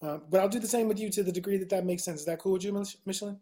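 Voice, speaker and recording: A woman's voice: medium pitch, rapid-fire, mellow. A woman hosting a talk show, chatting casually with her guests and often restarting her questions. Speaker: podcast host. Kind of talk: casual interview chat.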